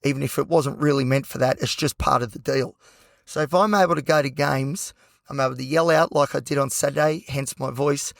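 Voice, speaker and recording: An Australian man hosting a solo podcast with a hoarse, croaky voice, talking passionately and rambling with lots of fillers. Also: mid-range voice, fairly even intonation, brisk, dry recording. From Newcastle, New South Wales, Australia. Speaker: podcast host.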